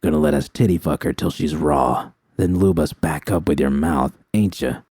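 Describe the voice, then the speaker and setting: A man narrating an audiobook puts on a menacing male gang boss. The voice is deep and shadowy, with a close, dry sound. He leers and mocks, drawing out his sneering taunts. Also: darkish tone, slightly rough, no echo. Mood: menacing leering. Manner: taunting, sneering, drawn-out talk. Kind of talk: audiobook character dialogue.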